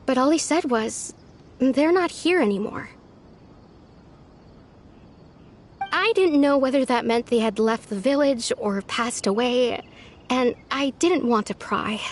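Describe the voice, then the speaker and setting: Gentle wistful village girl. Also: high-pitched, unhurried, slight background noise. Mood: reflective. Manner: soft, wistful and earnest. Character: gentle, lonely young village woman. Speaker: game villager character. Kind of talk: video game voice acting. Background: faint game music.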